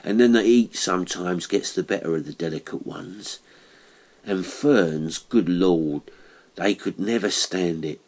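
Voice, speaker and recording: A man narrator plays a working-class stonemason in a horror audiobook. His voice is low and rough-edged, and he talks at an unhurried pace. He is earnest and matter-of-fact, treating everything with grave seriousness. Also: darkish tone. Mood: chatty, matter-of-fact. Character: Atkinson, earnest working-class male monumental mason.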